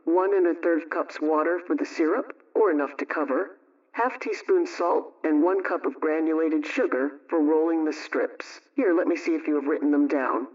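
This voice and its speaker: A woman playing a brisk, motherly homemaking adviser, telling a scripted radio story in an emphatic, instructive way. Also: low-pitched, darkish tone, distant mic, muffled recording.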